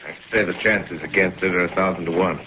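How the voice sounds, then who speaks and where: A man plays a calm, self-assured astronomy professor with a low voice, dismissing wild theories in a 1930s radio play. He speaks briskly in a dull, muffled broadcast sound under loud hiss. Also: natural voice. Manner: measured, assured, scholarly. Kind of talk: 1930s radio drama acting.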